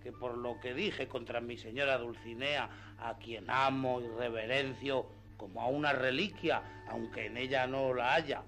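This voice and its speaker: A man's voice: medium pitch, fairly even intonation, unhurried, vocal fry, hardly any pauses, a bit bright. A man playing a simple, outspoken, good-hearted peasant squire in a radio drama, rustic and deferential yet cheeky. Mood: pleading. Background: faint background music.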